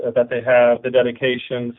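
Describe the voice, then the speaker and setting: A man's voice: mid-range voice, monotone, fairly quick. A man, a company executive, giving unscripted answers on a business conference call. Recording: narrow phone-like line.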